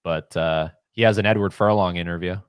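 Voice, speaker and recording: A man's voice: sits low, fairly even intonation, brisk, smooth, dry recording, studio quality. A man hosting a podcast over a video call, easygoing, laughing a lot and stammering at times. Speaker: podcast host.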